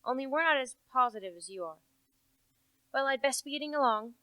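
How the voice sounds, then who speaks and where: A young woman acting as a brisk, sceptical police inspector in an amateur radio play. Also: sits high, lively intonation, bright, forceful tone, smooth, dry recording. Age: teenager to young adult. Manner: brisk, dismissive. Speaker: actor.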